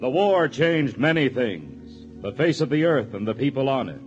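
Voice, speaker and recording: A man's voice: mid-range voice, very animated, moderate pace, lightly acted. A man playing a grand, portentous announcer of a 1940s radio adventure series. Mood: dramatic. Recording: muffled old radio broadcast, organ music.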